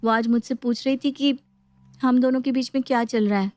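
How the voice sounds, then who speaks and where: A woman's voice: a little high, fairly even intonation, brisk, a little mellow, lightly acted. A woman voicing a dutiful young newlywed wife with a fiery streak in a domestic story. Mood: firm.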